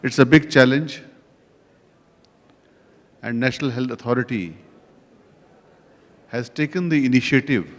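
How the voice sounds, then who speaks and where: A man chairing a conference session, speaking slowly and deliberately, listing points with pauses. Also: mid-range voice. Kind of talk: formal conference address. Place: large conference hall. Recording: reverberant conference hall.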